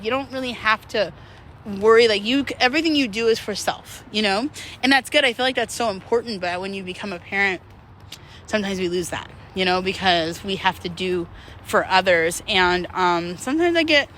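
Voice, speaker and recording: Woman on a walk chatting to followers, bright voice, self-deprecating and rambly, bit of outdoor noise. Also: medium pitch. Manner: talking while out walking. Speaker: vlogger. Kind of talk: casual motivational chat to followers. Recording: outdoor background noise, urban traffic ambience.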